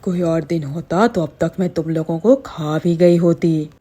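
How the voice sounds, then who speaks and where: Casting: woman to play a cartoon witch, low-pitched, bossy, sly and menacing, with drawn-out threats. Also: fairly even intonation, warm tone. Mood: menacing, relieved. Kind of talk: cartoon horror-comedy story acting.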